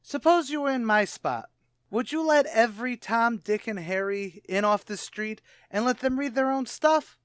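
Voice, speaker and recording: An actor playing a brash, streetwise tavern manager with cocky, ungrammatical patter, in old-time radio comedy style. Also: male voice, very high-pitched, moderate pace, clean tone, dry recording, studio quality. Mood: indignant, bossy. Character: brash, fast-talking, malapropping male tavern manager.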